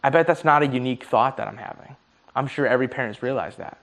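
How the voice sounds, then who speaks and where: A man's voice: mid-range voice, quick pace, fairly bright tone. A man in his early twenties musing aloud in a casual monologue, swearing freely and laughing at his own slips, his voice starting to tire. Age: 20s. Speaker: vlogger.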